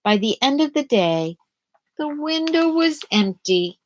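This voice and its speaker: Slow, lively woman reading to children. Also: high-pitched. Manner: deliberate, dramatic phrasing for young children. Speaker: storyteller reading a picture book aloud. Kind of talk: expressive children's read-aloud, with questions to young listeners.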